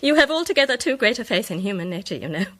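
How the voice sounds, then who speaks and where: Woman amateur sleuth, British accent, animated intonation, forceful bright tone, articulate, superior, assured, radio mystery drama. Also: medium pitch, very fast. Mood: amused, superior. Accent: British English. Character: confident, incisive woman amateur detective, briskly superior. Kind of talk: radio drama acting, polished monologue.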